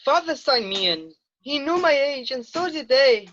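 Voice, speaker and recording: A woman's voice: high voice, lively intonation, moderate pace, fairly bright tone, dull audio, slight background noise. A woman reading a defiant fourteen-year-old boy soldier in an amateur screenplay read-through.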